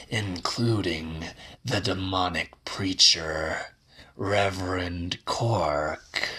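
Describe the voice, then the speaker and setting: A man voicing a contemptuous, ominous townsperson in a weird-fiction audiobook, in a slow, rasping whisper. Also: sits low, sharp tone, exaggerated voice.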